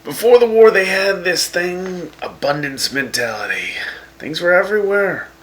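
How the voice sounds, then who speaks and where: A man's voice: high-pitched, lively intonation, normal speed. A man playing a wry, teasing wasteland radio host who chats with his guests. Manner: sarcastic, teasing, chatty.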